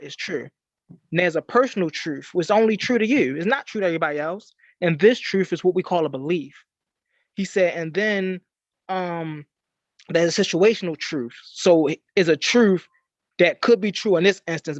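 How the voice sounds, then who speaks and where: Panelist, earnest man, high-pitched, dull lo-fi recording. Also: dry recording. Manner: earnest, hesitant with "um", addresses listeners as "family". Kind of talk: casual panel discussion.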